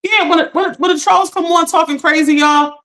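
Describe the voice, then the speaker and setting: A woman hosting a casual livestream, talking straight to her chat while she gets over a lost voice. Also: fairly quick. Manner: chatty, talks straight to chat, animated pitch jumps. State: recovering from lost voice. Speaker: livestream host.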